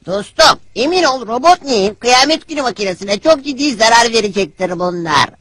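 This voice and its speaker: An actor voicing a cocky young hedgehog hero in a cartoon, brash and punchy. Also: male voice, very high-pitched, expressive, brisk, fairly bright tone, breathy edge, no echo, exaggerated voice. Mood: cocky, eager. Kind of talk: cartoon dub acting.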